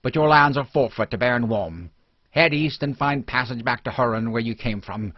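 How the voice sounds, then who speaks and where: Male reader as menacing mob villager, forceful, raised pitch, storybook drama, dull recording. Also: normal speed, no echo, lightly acted. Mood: stern, menacing. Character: hostile village mob man, xenophobic, barking orders. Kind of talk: dramatic fantasy audiobook dialogue.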